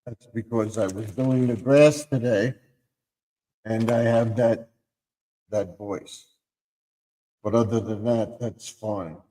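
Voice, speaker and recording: A man's voice: lowish voice, fairly even intonation, takes it slowly, long pauses, mellow, in a large hall. A man hosting a casual live stream, his voice scratchy from a sore throat and his talk rambling and self-interrupting. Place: home room.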